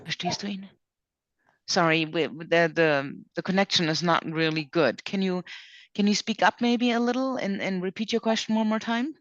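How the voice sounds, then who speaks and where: A woman's voice: lowish voice, normal speed, no echo. Woman answering questions, dull call audio. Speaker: programme presenter answering questions. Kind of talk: informal online Q&A, reading out and answering questions. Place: online video conference call.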